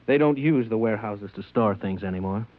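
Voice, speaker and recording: An actor playing a stern, dogmatic customs agent with a curt, preachy manner, in 1950s radio drama style. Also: male voice, lowish voice, a little fast, natural voice. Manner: curt, matter-of-fact, preachy. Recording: dry, dull old radio recording.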